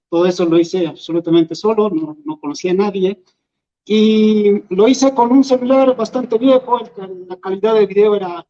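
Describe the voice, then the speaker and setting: A man from Peru, a Quechua speaker, giving an earnest, halting talk as an invited speaker at an event. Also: high-pitched, warm tone. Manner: earnest, halting, thinks aloud. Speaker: invited speaker, language educator. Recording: dull lo-fi event recording.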